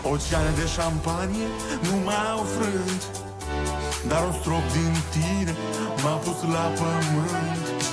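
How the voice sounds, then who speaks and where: A man's voice: a little high, fairly even intonation, slow pace, over loud music. A male singer performing an emotive pop song, played back from a recorded track. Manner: melodic, emotive singing. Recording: sung over loud pop backing track.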